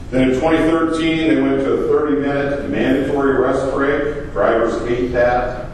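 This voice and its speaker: A trucking company owner with a big voice, giving a plainspoken, filler-heavy talk at a conference. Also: male voice, medium pitch, slight background noise. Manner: plainspoken, loose, with many fillers. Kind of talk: conference presentation. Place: large echoey conference room. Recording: echoey hall, distant.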